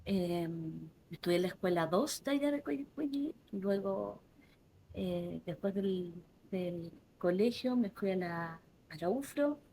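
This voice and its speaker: A woman in her forties with a Chilean accent, speaking softly and reflectively as an interview guest, often restarting her phrases. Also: mid-range voice, animated, slow pace, slightly rough. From southern Chile. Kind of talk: casual interview conversation.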